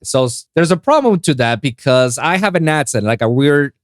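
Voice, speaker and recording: A man's voice: medium pitch, moderate pace, no echo, clean studio sound. A man from Puerto Rico, a native Spanish speaker with a Spanish accent, hosting a podcast and telling stories animatedly with lots of restarts. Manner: animated storyteller with frequent restarts. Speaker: podcast host. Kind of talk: casual chat.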